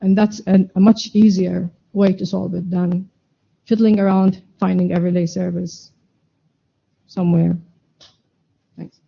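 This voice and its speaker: A woman making a short, measured comment at a technical standards meeting. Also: fairly low pitch, steady tone, vocal fry, slightly rough. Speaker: meeting participant. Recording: dull lo-fi conference room audio.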